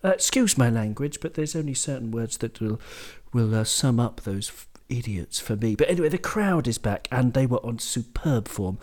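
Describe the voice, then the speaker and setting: A man's voice: mid-range voice, lively intonation, moderate pace, darkish tone. A man hosting a casual football podcast, blunt and opinionated, who swears freely. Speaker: podcast host.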